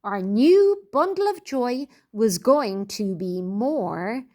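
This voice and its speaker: A woman with a high, lively voice reads a storybook aloud for children. She takes her time, phrasing each line clearly like a teacher helping listeners follow along. Her delivery is expressive and deliberate, at an unhurried, slow pace.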